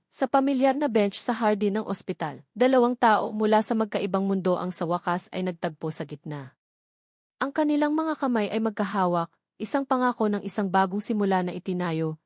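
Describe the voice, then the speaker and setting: A woman tells a scripted story as a storyteller. Her delivery is measured and sentimental, with phrases set out like a storybook. The sound is very dry, free of any room echo. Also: medium pitch, a little mellow.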